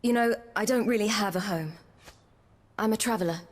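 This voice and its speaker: A woman playing a guarded, weary young traveller in a video game, measured and reticent, with a soft husky voice. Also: medium pitch, lightly acted. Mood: subdued, reticent. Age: young adult.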